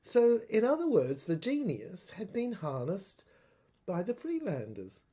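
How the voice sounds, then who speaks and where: A troubled infantry soldier played by a woman delivers a dramatic first-person monologue for an audio play. The voice is husky and slow, breaking phrases into halting pieces with deliberate emphasis. The pitch moves widely from line to line. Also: huge pitch swings, warm tone, full character voice. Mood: grave, revelatory. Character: troubled infantry soldier piecing together a secret government document.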